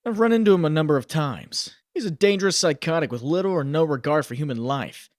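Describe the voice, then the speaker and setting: A man's voice: a little high, animated, fast, no room sound, studio quality, lightly acted. A man playing a wry, self-deprecating young superhero who works as a reporter, in a comic dub. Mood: wry unease. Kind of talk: comic dub acting with narration.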